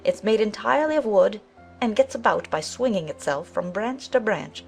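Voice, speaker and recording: A woman voicing a large talking gnat in a children's audiobook, brisk, careless and matter-of-fact. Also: mid-range voice, expressive, gravelly, darkish tone, soft background music, rough recording. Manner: brisk, offhand, buzzing between remarks. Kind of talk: dramatized children's audiobook acting.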